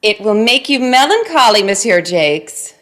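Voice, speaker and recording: A woman playing a modest forest singer in a Shakespearean radio play, gentle and self-deprecating. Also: medium pitch, big pitch swings, a little slow. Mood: modest, amused.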